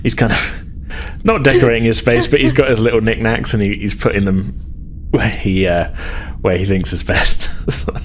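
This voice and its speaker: A man runs a tabletop role-playing game as game master. His voice sits fairly low and his delivery is halting. A light layer of noise runs under the recording. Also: steady tone. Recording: noisy home recording.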